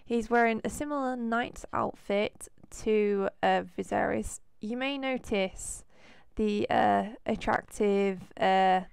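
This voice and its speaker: Hoarse lady with a cold rambling about her game, gravelly but smooth, dry close mic. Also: mid-range voice, takes it slowly, dry recording. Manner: chatty, rambling with fillers. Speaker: let's play host. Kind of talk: casual game commentary.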